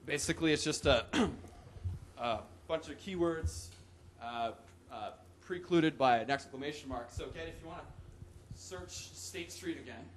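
A man's voice: fairly high pitch, a little slow, slight background noise. A young man giving a loose, joking talk in a conference hall, with whoops and laughs, into a podium microphone. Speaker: conference presenter. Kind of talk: casual live tech talk.

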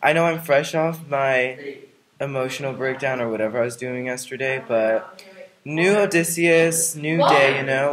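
A man's voice: mid-range voice, close to an everyday voice. Man doing a vain boastful hero, comedic vlog parody, casual smug talk, lots of fillers. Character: vain boastful male Greek hero turned vlogger.

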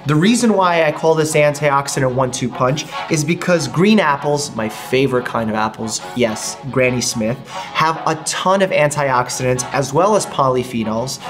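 A man's voice: medium pitch, lively intonation, normal speed, over music. A young man in his twenties, a doctor hosting a casual food vlog from his home kitchen, explaining health benefits in an upbeat, easy way. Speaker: doctor and channel host.

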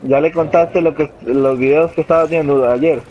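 A man's voice: a little high, steady tone, normal speed, lo-fi sound, a little hiss or hum. A man in a game voice chat, teasing his friends with banter. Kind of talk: casual gaming chat.

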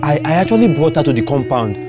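A man's voice: fairly high pitch, sing-song, fast talker, over music. A man with a Nigerian accent playing a flustered, soothing neighbour, stammering and repeating words in a comedy drama. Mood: coaxing. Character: flustered, soothing neighbour consoling a crying child.

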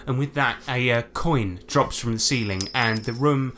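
A man's voice: mid-range voice, moderate pace, a bit bright. A man narrating a tabletop role-playing game as game master, on a noisy home recording.

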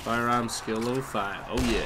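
A man's voice: medium pitch, fairly even intonation, normal speed, loud music behind the voice. A man with a stuffy nose giving rambling, chatty commentary while playing a video game, exclaiming at what happens. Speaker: let's play host. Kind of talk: casual gameplay commentary.

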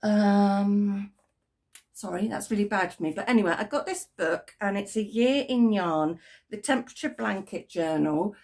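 A middle-aged British woman, croaky from a cold, chatting to camera in her home craft room about her crochet project, rambling with ums and corrections. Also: mid-range voice, normal speed, darkish tone. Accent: British English. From United Kingdom. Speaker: crochet vlogger. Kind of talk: casual chat to camera. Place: home craft room with yarn shelves, indoors. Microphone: webcam at desk, framed from the chest up.

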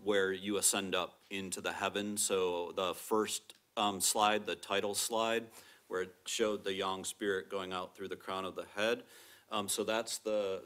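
A middle-aged man speaking on an academic panel in a lecture theatre, with a strong, projecting voice and a measured, explanatory way of talking. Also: low-pitched, a bit bright. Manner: explanatory, measured, with frequent "kind of" qualifiers. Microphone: table microphone.

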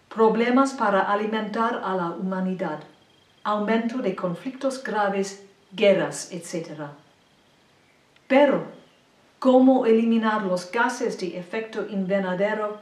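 Slow, careful older woman, roomy sound. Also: mid-range voice, recorded from a distance. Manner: careful, deliberate non-native phrasing. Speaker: presenter. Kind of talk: slide lecture read point by point. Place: small indoor room with a plain wall. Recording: roomy echo, mic set back.

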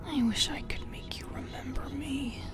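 A woman voicing a teasing, possessively affectionate female sleep paralysis demon in an ASMR roleplay, with a breathy, playfully menacing whisper. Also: sits high, fairly even intonation, moderate pace, close to an everyday voice. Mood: tender menace. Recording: music behind the voice.

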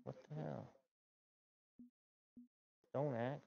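A man's voice: medium pitch, steady tone, drawn-out words, creaky, no echo, muffled recording. A middle-aged man streaming a game from home, grumbling and talking to himself as he plays. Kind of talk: casual gameplay chat. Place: home gaming room, indoors.

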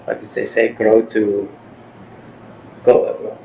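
A middle-aged man hosts an interview on a video call, his voice fairly low. He stumbles through questions with restarts and encouraging remarks, with a faint hiss behind him. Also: flat delivery, creaky, dark tone, low-quality recording. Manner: halting, restarts questions, supportive. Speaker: podcast host. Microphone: over-ear headphones, video call.

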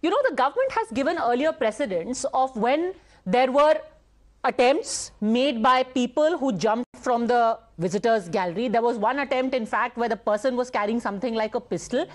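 A woman news anchor with a high voice questions her guest on live TV. She presses firmly, rephrasing questions until she gets an answer, in a formal, articulate delivery. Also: animated, moderate pace. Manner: persistent, pointed questioning.

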